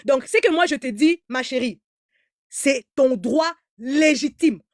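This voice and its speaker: A woman's voice: sits high, animated, unhurried, darkish tone, dry recording, clean studio sound. A woman giving heated live commentary in an emphatic, repetitive way, stammering as she restarts, whose first language is German. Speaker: online commentator.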